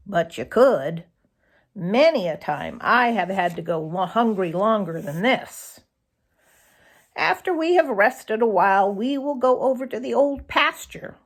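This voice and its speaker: A woman voicing an aging granny fox in a children's storybook, sharp but kindly, with a folksy, old-fashioned way of talking. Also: a little high, voice pushed up, exaggerated voice. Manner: gently scolding, folksy, old-fashioned phrasing.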